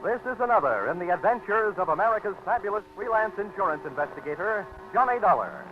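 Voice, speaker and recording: Booming old-time radio announcer man. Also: big pitch swings, quick pace. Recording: dull old radio broadcast with music.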